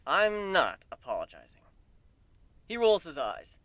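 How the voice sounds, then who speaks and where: Male amateur storyteller, expressive and lively, bright tone, dry sound. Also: on the high side, unhurried, dry recording. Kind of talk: dramatic reading of fan fiction.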